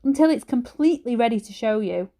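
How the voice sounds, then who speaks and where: A woman's voice: a little high, animated, fairly quick, warm tone. A woman voicing an awkward, easily embarrassed young schoolboy in a children's story, hesitant and defensive. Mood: nervous.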